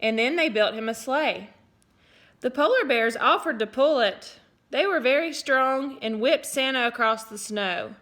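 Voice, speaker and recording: A woman teacher reading a picture book aloud to children, expressive and playful, breaking off for little asides to her listeners. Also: on the high side, a little slow. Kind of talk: storybook read-aloud for children.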